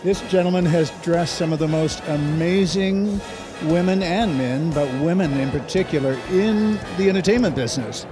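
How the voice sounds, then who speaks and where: Gushing higher-voiced male interviewer. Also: moderate pace. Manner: gushing, complimentary. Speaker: red carpet interviewer. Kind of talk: event interview chat. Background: music.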